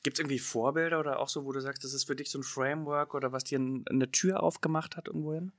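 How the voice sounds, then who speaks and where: A man's voice: medium pitch, steady tone, quick pace, no room sound. A man interviewing on a podcast, phrasing his questions in a searching, hesitant way with many tags and restarts. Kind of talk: casual podcast conversation.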